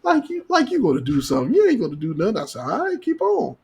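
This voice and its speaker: A man with a husky voice telling a casual podcast story in slangy, profane talk, acting out the dialogue. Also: extremely high pitch, huge pitch swings, fast talker, darkish tone. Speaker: podcast co-host.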